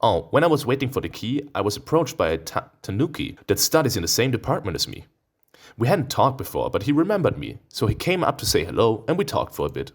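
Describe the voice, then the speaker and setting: A man's voice: on the low side, huge pitch swings, quick pace, full character voice. A man voicing a small, friendly young male wolf student in a visual novel, chatty in manner. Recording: music behind the voice.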